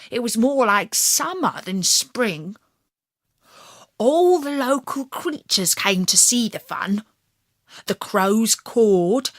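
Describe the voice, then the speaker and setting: Woman reading a kids fairytale, really lively intonation, takes her time, dry close sound. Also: medium pitch, no echo. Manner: expressive, deliberate storytelling. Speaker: storyteller. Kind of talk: scripted children's fairytale narration.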